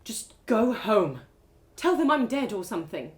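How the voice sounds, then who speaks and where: A woman's voice: medium pitch, very animated, a little slow. A woman voicing a guarded, world-weary female elf fighter, blunt and reserved, in a told fantasy story. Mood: tense, firm.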